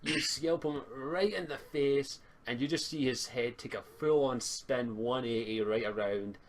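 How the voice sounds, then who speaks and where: A man with a mid-pitched voice works as game master, improvising scene descriptions and calling for dice rolls. His delivery is informal, and the home mic carries a faint layer of noise. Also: a little slow. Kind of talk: narrating a tabletop roleplaying game. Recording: noisy home mic with clicks.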